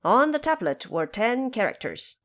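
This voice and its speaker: A woman narrator giving scripted storytelling with explanations, expressive and measured in delivery. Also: fairly high pitch.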